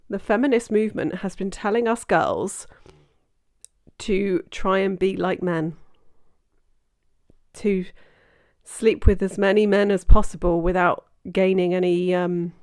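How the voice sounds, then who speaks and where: A woman's voice: medium pitch, takes it slowly, pauses often, a little mellow, no echo. A blunt, opinionated woman in her forties from Britain comments on clips for her reaction channel. She talks casually and swears now and then, close to the mic. The sound is dry with no room around it. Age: 40s. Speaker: reaction video host.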